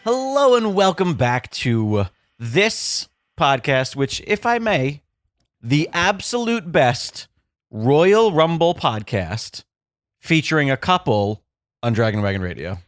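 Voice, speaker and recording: A man's voice: fairly high pitch, big pitch swings, takes it slowly, no echo, clean studio sound. A young adult man hosting a casual podcast, joking and playful, sounding a little congested and nasal. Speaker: podcast host. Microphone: studio microphone.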